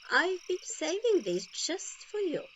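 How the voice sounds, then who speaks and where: A woman's voice: extremely high pitch, sing-song, slow, a bit bright, lo-fi sound, a little hiss or hum, pitched above the performer's own voice, exaggerated voice. A woman reading a storybook and voicing a kindly, matter-of-fact country grandmother with a folksy, encouraging manner. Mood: kindly, generous.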